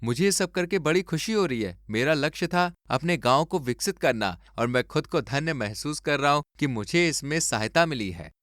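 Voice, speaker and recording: A man's voice: a little high, lively intonation, normal speed, hardly any pauses, fairly bright tone, no echo, clean studio sound. A man voicing an earnest, idealistic young engineer, sincere and polite, in a moral story cartoon. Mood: grateful.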